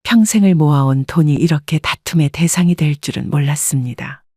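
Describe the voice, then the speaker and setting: A woman narrating a story in the first person, calm and measured. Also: low-pitched, breathy edge, no echo, studio quality.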